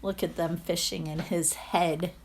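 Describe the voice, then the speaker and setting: A woman's voice: lowish voice, steady tone, measured pace, a little hiss or hum, low-quality recording. A woman reading a children's storybook aloud expressively, like a teacher to her pupils.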